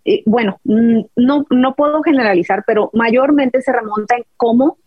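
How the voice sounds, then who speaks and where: A woman's voice: fairly high pitch, normal speed, slightly rough, dry recording, narrow, tinny audio, soft background music. A woman from rural Mexico, a health coach guest, speaking hesitantly and searching for words. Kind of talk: casual chat.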